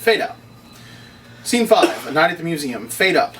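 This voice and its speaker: A man's voice: on the high side, very animated, normal speed, a bit bright. A man reading stage directions as scripted narration at a table read. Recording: distant mic, noisy room ambience.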